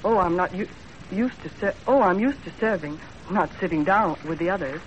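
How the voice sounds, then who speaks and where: A woman's voice: on the low side, animated, brisk, natural voice. A woman playing a self-possessed, sceptical young servant in a composed, plain-spoken 1930s radio acting style. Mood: polite, composed. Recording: muffled, faintly noisy 1930s radio broadcast.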